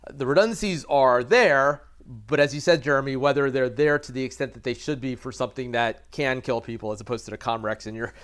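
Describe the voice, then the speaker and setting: An American man speaking as an expert guest in an interview, explaining haltingly with repeated words and careful qualifications. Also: medium pitch, a bit bright. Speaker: expert guest, aviation reporter. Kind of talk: conversational interview analysis.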